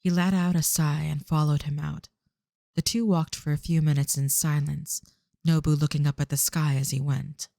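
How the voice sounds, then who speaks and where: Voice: mid-range voice, little pitch movement, moderate pace, gravelly, warm tone, no room sound, clean studio sound. A narrator reading scripted storytelling for an audio drama.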